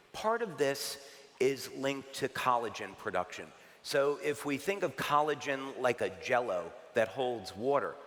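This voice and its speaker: A man from the United States presents at a large convention. He speaks at a middle pitch and talks in a relaxed way, with light asides and the odd filler word. His voice carries a long hall reverb. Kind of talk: conference presentation.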